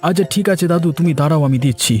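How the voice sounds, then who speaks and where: A man's voice: a little high, racing, darkish tone, soft background music, close to an everyday voice. A man voicing a cheerful, eager young village food seller, chatty and deferential, in a cartoon story. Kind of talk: Bengali cartoon story voice acting.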